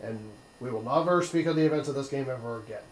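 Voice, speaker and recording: A man co-commenting on a let's play with dry, deadpan quips. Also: mid-range voice, fast. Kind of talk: casual game commentary. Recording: echoey room, game music behind.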